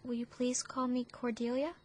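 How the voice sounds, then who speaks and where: An actress voicing a talkative, imaginative orphan girl with a dramatic, romantic way of speaking, in an audio drama with soft music underneath. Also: a little high, flat delivery, normal speed, natural voice. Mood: pleading, earnest. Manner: breathless, effusive, theatrical.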